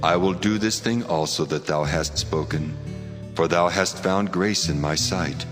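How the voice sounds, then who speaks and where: A man's voice: sits low, a little slow. A man voicing a solemn, commanding God in a dramatized scripture reading, declaiming his words. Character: the Lord God, solemn commanding male deity. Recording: long cavernous reverb, music bed. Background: music.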